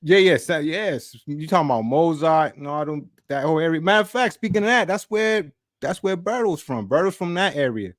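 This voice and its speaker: A middle-aged man, co-hosting a podcast. His voice sits slightly high and sounds dry and close, and he answers the others with quick, agreeable slang. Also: animated, no room sound. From Boston. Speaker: podcast co-host. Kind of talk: casual podcast banter.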